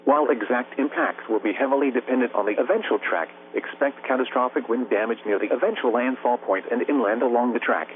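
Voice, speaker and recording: Synthetic male voice over tinny, humming weather radio. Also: mid-range voice. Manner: formal, measured, synthetic delivery. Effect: synthetic computer voice. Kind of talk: scripted weather bulletin read by text-to-speech. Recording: noisy narrowband weather radio broadcast, humming. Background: radio hum and static.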